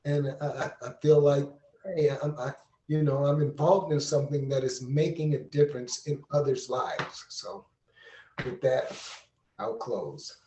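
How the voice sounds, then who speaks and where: A man giving earnest, unscripted reflections on a panel in deliberate phrases, recorded with an echoey room sound. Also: medium pitch, fairly even intonation, mellow, slight background noise. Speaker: panel participant and storyteller.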